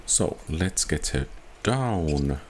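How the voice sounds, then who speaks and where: A man doing casual video game commentary, halting and musing as if thinking aloud. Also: extremely low pitch, expressive, slight background noise. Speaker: gamer narrating own playthrough.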